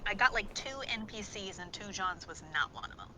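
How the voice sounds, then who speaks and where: A woman's voice: medium pitch, expressive, a little fast, sharp tone, a little hiss or hum, poor audio. A woman chatting playfully and wryly with friends over a voice call. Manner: playful, wry. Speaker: voice actor in a group game playthrough. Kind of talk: casual chat over a voice call.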